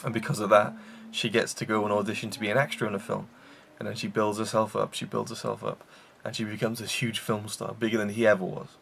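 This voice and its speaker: A man's voice: lowish voice, level tone, fast, gravelly, few pauses, fairly bright tone, slight background noise. A man hosting a casual film review show, rambling with enthusiasm and many fillers and restarts.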